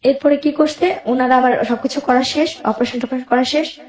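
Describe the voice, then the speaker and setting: A woman's voice: sits high, steady tone, fairly quick, darkish tone, roomy sound. A woman with a Bangladeshi accent tells her own life story as a guest, emotionally, quoting both sides of conversations as she goes.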